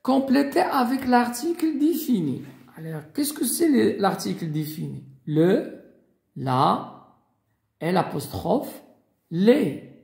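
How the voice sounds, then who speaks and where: Slow gravelly guy teaching beginners, dark voice, lots of pitch up and down, echoey room. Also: sing-song. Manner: slow, deliberate, spells out and repeats examples. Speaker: French teacher. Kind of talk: beginner language lesson.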